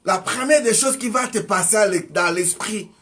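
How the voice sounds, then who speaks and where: A preacher delivering an exhorting sermon with a high voice. He fires rhetorical questions at his listeners and swings between loud outbursts and soft passages. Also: normal speed. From Congo. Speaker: evangelist preacher.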